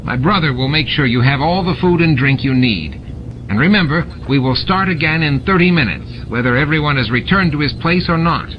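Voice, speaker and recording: A man's voice: on the high side, animated, a little fast, highs cut off, slight background noise, voice pushed up, close to an everyday voice. A man voicing a scheming, impatient alien barkeep who runs a gambling game, bossy and falsely cheery. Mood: forced cheer.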